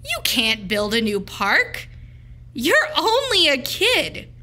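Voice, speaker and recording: Woman putting on a high-pitched officious woman clerk. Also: wildly swooping pitch, slow, pushed and bright, full character voice. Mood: dismissive. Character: officious female city hall clerk, brisk and dismissive.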